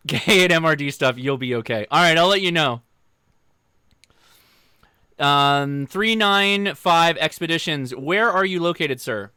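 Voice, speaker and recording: Male American livestream host, crisp and bright delivery, informal and chatty, speaking straight to viewers. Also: a little high, unhurried, dry recording, studio quality. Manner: informal, joking, addresses viewers directly. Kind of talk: casual livestream chat, answering viewer questions.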